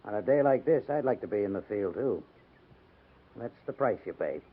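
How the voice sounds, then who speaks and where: An actor playing a gruff but easygoing cavalry major with an authoritative manner in a 1950s radio drama. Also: male voice, lowish voice, lively intonation, moderate pace, narrow, tinny audio. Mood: commanding. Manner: emphatic, authoritative. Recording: noisy band-limited 1950s radio recording.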